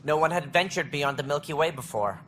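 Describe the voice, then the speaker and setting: An actor playing an officious alien station director in a video game, speaking precisely and formally with a wry, earnest streak. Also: male voice, on the high side, fairly quick, fairly bright tone, a little hiss or hum, close to an everyday voice. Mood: earnest, stately. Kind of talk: video game dialogue acting.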